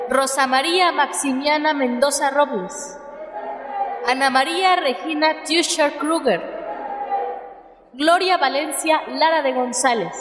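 Radio drama orator: impassioned, formal Mexican women's leader, slightly high, reverberant hall. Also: female voice. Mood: solemn. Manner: formal, declamatory. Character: solemn, impassioned female activist leader giving a speech.

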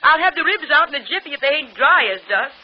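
An actress playing a weary, bossy, middle-aged vaudeville wife, scolding plainly in a 1940s radio play. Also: female voice, high-pitched, animated, quick pace. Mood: fussing, bossy. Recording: muffled, noisy old radio broadcast.

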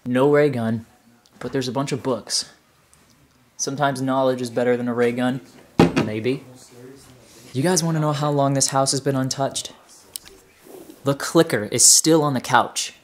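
A man's voice: medium pitch, slight background noise. A man in his twenties doing casual vlog narration as he explores indoors, musing and wondering aloud. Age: 20s. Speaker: urban explorer vlogger. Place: indoors, rooms of an abandoned house. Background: music.

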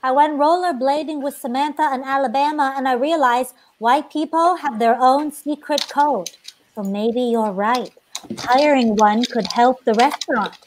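A high-pitched, strict sitcom mother played by a woman. She is proud and blunt, stating things emphatically and matter-of-factly, as in a table read over a video call. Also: moderate pace, close to an everyday voice, poor audio. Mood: bossy, suspicious. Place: indoors at home on a video call. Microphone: video call, earphones.

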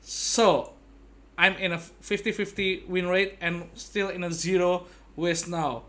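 A young adult man giving casual, playful gaming commentary to his viewers like an excitable showman. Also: sits high, takes it slowly. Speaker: game streamer. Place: indoor gaming setup at home. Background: game music.

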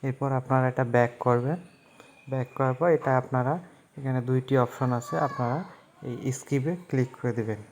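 A man's voice: medium pitch, steady tone, fairly quick, a little mellow, slight background noise. A man narrating a phone app tutorial step by step, in a repetitive, instructional way. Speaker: tech tutorial host.

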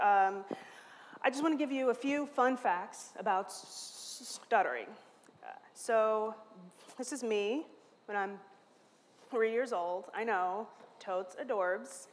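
A woman's voice: a little high, a little hiss or hum. A woman in her thirties who stutters, giving a candid, self-mocking talk from a lectern on stage. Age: 30s. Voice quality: stutters, with repeated sounds and restarts. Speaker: conference speaker. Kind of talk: lightning talk. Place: large hall, standing at a lectern on stage. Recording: long reverb from a large hall.

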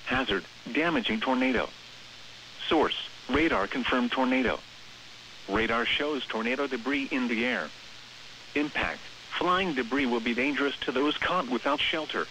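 A synthetic American male voice reading scripted emergency weather bulletins in a measured, impersonal manner over the radio. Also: mid-range voice, pushed and bright, poor audio. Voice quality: synthetic text-to-speech voice. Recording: hissy, staticky weather radio broadcast.

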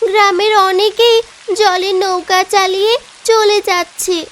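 A woman's voice: extremely high pitch, fairly even intonation, a little slow, a bit bright. A woman voicing an affectionate, anxious young village daughter in a fairy-tale cartoon. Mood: childlike, curious.

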